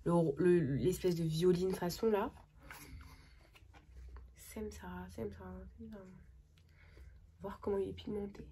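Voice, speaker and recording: A young woman with a cold, chatting excitedly and casually through a makeup tutorial, with lots of slang and trailing sentences. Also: mid-range voice, takes it slowly, pauses often, a little hiss or hum. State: has a cold. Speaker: makeup vlogger.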